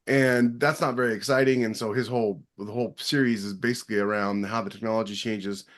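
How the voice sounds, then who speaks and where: A man's voice: fairly low pitch, fairly even intonation, brisk. A man explaining his opinions as an author and co-host in a casual podcast panel.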